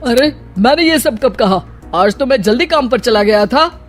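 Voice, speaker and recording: A performer voicing an older father-in-law in a cartoon story, a teasing husband with theatrical, drawn-out calls. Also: medium pitch, expressive, soft background music, lightly acted. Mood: puzzled.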